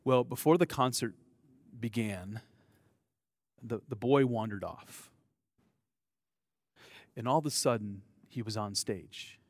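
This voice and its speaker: Casual American preacher with a dry sound. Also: male voice, medium pitch, slow, pauses often, no room sound. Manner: conversational, self-deprecating, frequent fillers and restarts. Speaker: pastor. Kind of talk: sermon.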